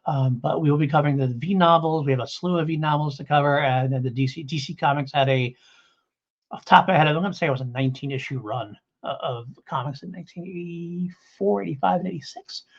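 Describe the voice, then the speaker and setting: A man's voice: on the high side, fast talker, lo-fi sound. A middle-aged man hosting a casual review podcast, talking in a rambling way and correcting himself as he goes. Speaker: podcast host.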